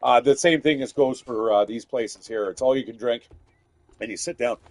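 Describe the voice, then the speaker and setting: Man streamer, loud and cussing, rambling talk, dry close sound. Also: medium pitch, normal speed, dry recording. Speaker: gaming streamer. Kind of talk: casual gameplay commentary.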